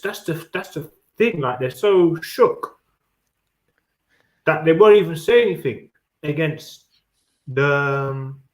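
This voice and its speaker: Man rambling casually in an echoey room. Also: fairly high pitch. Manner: rambling, halting, slangy street talk. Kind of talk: casual chat. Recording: very echoey, roomy sound.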